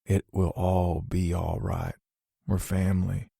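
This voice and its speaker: A man voicing a gentle, loving father near death, speaking softly and weakly in a slow, low voice. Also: little pitch movement, gravelly, slightly rough, dry recording, studio quality, heavily acted. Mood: tender. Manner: soft, weak, slow speech. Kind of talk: audiobook character voice.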